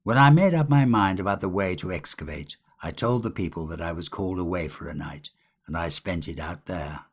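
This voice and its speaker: A man voicing a nervous, despairing young antiquarian in a storybook, resigned and halting, sometimes muttering. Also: low-pitched. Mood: weary confiding.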